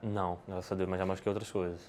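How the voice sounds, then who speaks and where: A man's voice: sits low, flat delivery, very fast, darkish tone, slight background noise. A Brazilian man, a podcast guest, answering questions in casual talk, rambling with many restarts and tag questions. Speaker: podcast guest, poker player.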